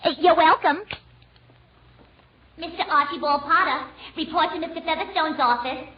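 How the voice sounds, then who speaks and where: An actress playing a busy, polite department store operator with brisk, clipped patter, in 1940s radio comedy style on a noisy old recording. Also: female voice, high-pitched, dull audio, lightly acted. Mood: flustered, then urgent.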